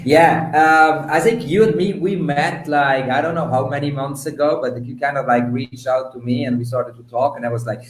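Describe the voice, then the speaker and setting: A man's voice: mid-range voice, fairly even intonation, normal speed, few pauses, a little hiss or hum. Echoey male live-stream host, effusive. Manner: effusive, repeats words for emphasis. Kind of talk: enthusiastic, informal live interview hosting.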